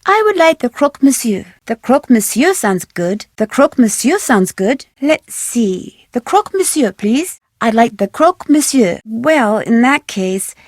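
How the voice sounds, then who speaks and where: A woman playing a bright, polite young detective in a video game, earnest and inquisitive, speaking in short separate lines. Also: a little high, sing-song, a little slow, hardly any pauses, no echo, clean studio sound. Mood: friendly, polite.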